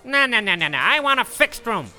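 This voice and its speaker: A man voicing a flustered American tourist from New York with a New York accent, whiny and quick to apologize. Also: extremely high pitch, very animated, sharp tone, clear, resonant tone, soft background music, full character voice. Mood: exasperated. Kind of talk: comedy audio sketch.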